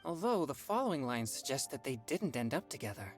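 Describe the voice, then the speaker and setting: A man's voice: medium pitch, fairly quick, faint music behind, close to an everyday voice. A man playing an earnest, polite teenage boy exorcist in an anime-style game. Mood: earnest, thoughtful. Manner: polite, expressive.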